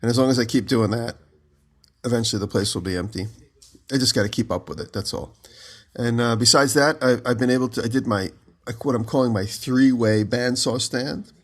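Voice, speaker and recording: A man co-hosting a podcast, just out of bed with his voice lower than usual, telling long rambling stories from home over a remote call. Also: a little fast. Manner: rambling storyteller, giggly. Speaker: podcast co-host. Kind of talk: casual chat.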